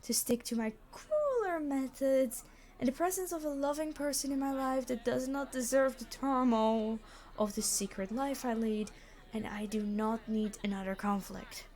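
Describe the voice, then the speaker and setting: Woman acting high-voiced, exasperated supervillain. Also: moderate pace, darkish tone, lightly acted. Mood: dry, reassuring. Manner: dry, formal, bossy, matter-of-fact. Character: famous immortal supervillain, exasperated, reluctantly decent. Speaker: robbery leader. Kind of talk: roleplay audio acting.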